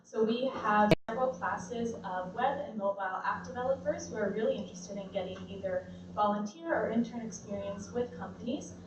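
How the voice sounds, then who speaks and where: A woman's voice: medium pitch, a little fast, few pauses. Chatty woman announcing an offer. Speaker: college career advisor. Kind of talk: short announcement. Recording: echoey room, distant dull mic, faint hiss.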